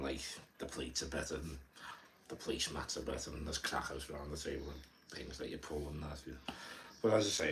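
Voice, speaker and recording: A young man with a very low voice and a Scouse accent talks loosely to his live-stream viewers. He rambles, stumbles over repeated words and drops swear words without thinking. A faint hiss of background noise sits under the recording. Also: low-quality recording. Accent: Liverpool (Scouse). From Liverpool, England. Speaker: livestreamer.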